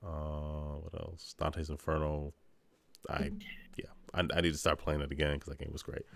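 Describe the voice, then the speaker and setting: Very deep male voice, casual podcast host, rambling game talk, joking asides. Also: completely flat delivery, moderate pace, gravelly, a bit bright, a little hiss or hum.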